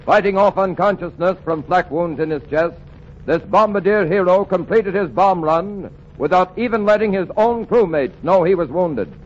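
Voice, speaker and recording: Male announcer, solemn 1940s radio read, high voice, muffled sound. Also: normal speed.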